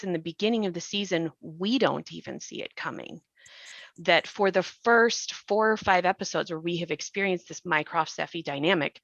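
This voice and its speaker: A woman's voice: lowish voice, fairly bright tone, dry recording, dull audio. A woman hosting a reflective podcast discussion, explaining things in a thoughtful way. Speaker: podcast host and actor.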